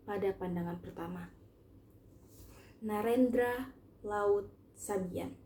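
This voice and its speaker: Bright young female narrator, echoey room. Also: a little high. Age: teenager. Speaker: student narrator. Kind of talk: scripted short story narration, read aloud.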